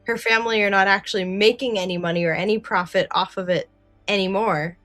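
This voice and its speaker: A woman's voice: mid-range voice, fairly even intonation, fairly quick, fairly bright tone, dry recording. Woman podcaster chatting over faint music. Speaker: podcast co-host. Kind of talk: casual discussion of a radio show.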